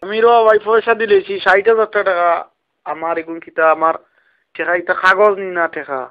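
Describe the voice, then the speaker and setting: A man's voice: sits high, normal speed, dry recording, natural voice. A man with a Sylheti accent playing an aggrieved cattle buyer in a comic prank call, complaining insistently and repeating himself. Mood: complaining, pleading. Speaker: prank caller. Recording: muffled lo-fi phone call recording.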